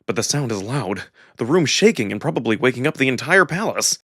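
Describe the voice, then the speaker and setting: A man's voice: medium pitch, sing-song, a little fast, fairly bright tone, breathy edge, dry recording, studio quality. A man narrating a first-person story dramatically, as a voice performer reading a visual novel. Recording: music behind the voice.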